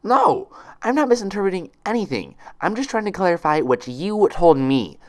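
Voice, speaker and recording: A man acts out a young mare in a storybook, a worried but insistent patient. The voice is lifted well up in pitch and very animated, full of urgent questioning. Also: moderate pace. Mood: angry. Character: anxious, questioning young adult female pony patient.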